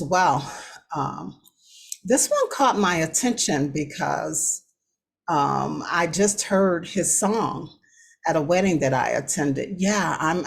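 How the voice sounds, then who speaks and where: An American woman hosting a talk show, giving casual news commentary in a conversational, unhurried way with hesitant pauses between phrases. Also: on the low side, slightly rough. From United States.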